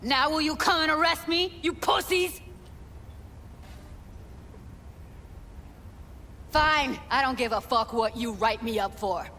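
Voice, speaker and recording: A woman's voice: high voice, steady tone, normal speed, bright, forceful tone, a little hiss or hum. A woman acting a tough young woman in grief and shock for a video game, blunt, profane and emotionally raw. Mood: furious. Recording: music playing behind the voice. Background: game music.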